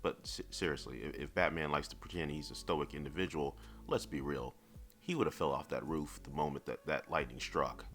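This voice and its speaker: Very deep-voiced man narrating a review. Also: steady tone, brisk, fairly bright tone. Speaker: collectible statue reviewer. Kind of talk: semi-scripted video review narration. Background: background music.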